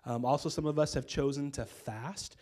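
Hoarse American pastor preaching casually. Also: male voice, mid-range voice, steady tone, normal speed, a little mellow. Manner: casual, conversational preaching with many fillers. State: hoarse from a cold. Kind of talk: sermon. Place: church stage with string lights. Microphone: handheld.

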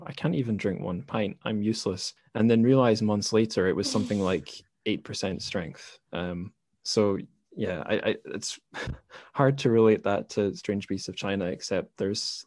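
A man's voice: lowish voice, darkish tone, no room sound, clean studio sound. A man hosting a podcast in casual conversation, speaking hesitantly and with self-deprecation. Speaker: podcast host. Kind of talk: casual interview chat.

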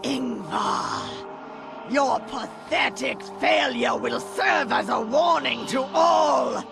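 A woman playing a wrathful, imperious winged spirit in a video game, shouting out condemnation. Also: on the high side, slow, gravelly, over music, heavily acted. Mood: furious contempt. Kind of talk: video game character acting.

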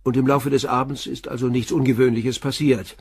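An actor in a German crime radio play as a composed detective, questioning in a matter-of-fact, inquisitive way. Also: male voice, medium pitch, level tone, a little fast, a little mellow, husky, lightly acted. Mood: calm, probing. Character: probing, composed male police detective questioning a witness.

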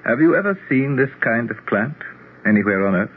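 An actor playing a courtly, self-assured otherworldly prince in a 1970s radio drama, formal and theatrical. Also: male voice, medium pitch, animated, brisk, natural voice. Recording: muffled, hissy old radio broadcast.

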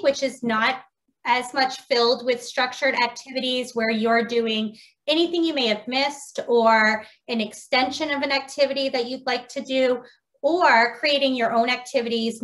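A woman's voice: fairly high pitch, fairly bright tone, rough recording. A woman from the United States, a preschool teacher, explaining things informally in an online training workshop. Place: indoors on a video call. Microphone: video call.

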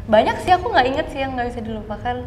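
A woman's voice: high-pitched, expressive, very fast, darkish tone, roomy sound, in a large hall, a little hiss or hum. A young woman from Indonesia answering interview questions casually, mixing English words into her slangy talk. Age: young adult. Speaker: actress being interviewed. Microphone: clip-on lapel mic.

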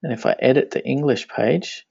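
A man, a native Danish speaker, walks through a website plugin in a relaxed screencast tutorial, thinking aloud as he clicks. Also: medium pitch, normal speed, vocal fry, dry recording. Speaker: web tutorial instructor. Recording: dry, dull lo-fi screen recording.

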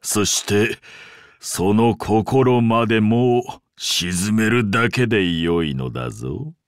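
A man voicing an ancient, imperious sea-god father in a Japanese game, speaking in a pompous, archaic and deliberate way with grand indulgence. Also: lowish voice, animated, clean tone, no echo, studio quality. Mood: smug, coaxing. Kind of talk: Japanese game character voice acting.

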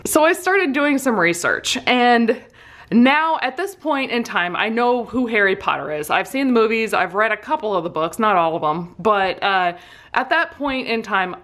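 A middle-aged woman casually telling a personal story in an amused, self-deprecating, animated way, as a vlogger would. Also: on the high side. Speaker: vlogger and soap maker.

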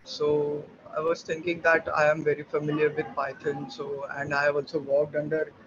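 A man's voice: on the high side, completely flat delivery, normal speed. A man introducing himself on a video call, speaking hesitantly with many fillers and repeated words. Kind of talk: casual video call chat. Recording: dull lo-fi call audio with noise.

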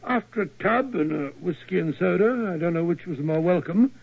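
An actor playing a blustery, easily shocked British gentleman in an old radio drama, in a flustered manner. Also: high-pitched, lively intonation, normal speed, creaky, husky, full character voice. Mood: reflective. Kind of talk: 1940s radio drama acting. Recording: tinny old radio broadcast.

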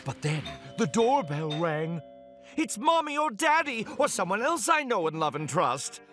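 A man playing an excitable, silly storybook narrator for a children's cartoon, with a playful, exaggerated, mock-dramatic delivery. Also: high voice, a little slow, faint music behind, heavily acted.

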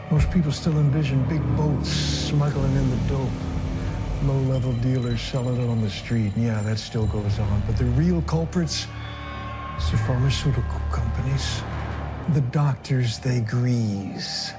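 A grim vigilante played by a man in a film drama, full of indignation at drug pushers. He speaks in a mid-pitched voice, and loud music runs underneath. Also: measured pace, lightly acted. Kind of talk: dramatic film dialogue and monologue.

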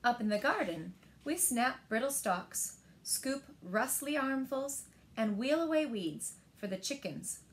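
Slow-paced, higher-voiced woman reading a storybook. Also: lively intonation. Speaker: library storyteller. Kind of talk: reading a picture book aloud to children. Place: indoors, small living room by windows.

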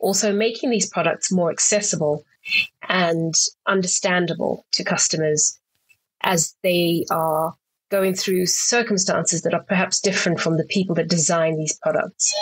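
A woman from Zimbabwe answers interview questions in a measured, articulate way, with a fairly low voice. The sound is dry and close, with almost no room around it, as if she is speaking from home over a remote call. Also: breathy edge, no echo, rough recording. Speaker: guest, actuary and board member.

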